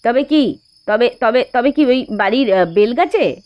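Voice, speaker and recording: A woman voicing an excitable, greedy young uncle in an audio story, blurting and halting, sometimes conspiratorial. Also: fairly high pitch, no room sound. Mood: nervous. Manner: blurting, halting, dropping to hushed conspiratorial tones.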